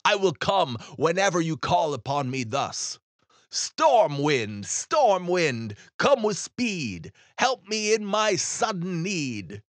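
Man acting out a brisk, emphatic storm wind spirit. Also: on the high side, big pitch swings, no echo, pitched above the performer's own voice. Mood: eager, declaiming.

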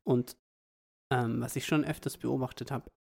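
Young Austrian podcaster, creaky voice. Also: medium pitch, fairly even intonation, moderate pace, a little mellow. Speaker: podcast host. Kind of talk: casual podcast chat.

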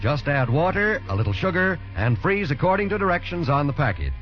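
An American man delivering a scripted advertising pitch as a 1940s radio commercial announcer. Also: very animated, brisk, soft background music. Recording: muffled 1940s radio recording.

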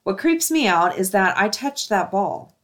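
A woman reads stories aloud as the host of a show. She has a middle-pitched voice and keeps the delivery casual and chatty, like someone sharing submissions with a friend. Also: very animated, a little slow, a bit bright.

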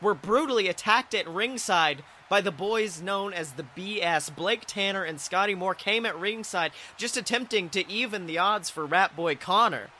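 A man playing an earnest, excitable wrestling commentator, recapping dramatically like an announcer. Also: high-pitched, moderate pace, hardly any pauses, slight background noise. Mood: earnest, dramatic. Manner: dramatic, announcerly, easily outraged. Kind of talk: sports entertainment commentary.

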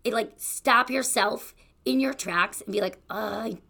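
Bright, gravelly young woman podcaster. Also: on the high side, a little slow. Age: young adult. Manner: chatty and encouraging, with fillers like "you know" and "like". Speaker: podcast host. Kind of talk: casual solo podcast monologue.

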